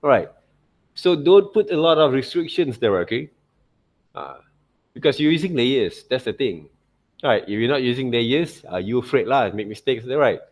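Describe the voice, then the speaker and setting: A man with a Malaysian accent teaching an informal software tutorial, often checking in with short tag words like okay and right. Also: medium pitch, lively intonation, normal speed. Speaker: art teacher.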